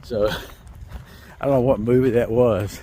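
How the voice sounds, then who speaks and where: A man's voice: medium pitch, slow, mellow. A man with a Southern American accent chats outdoors on the trail in a folksy, rambling vlog. His voice sits in a middle register, and faint outdoor noise runs behind him. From North Carolina, USA. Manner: rambling, folksy, self-amused. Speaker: hiker vlogger. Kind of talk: casual walking vlog.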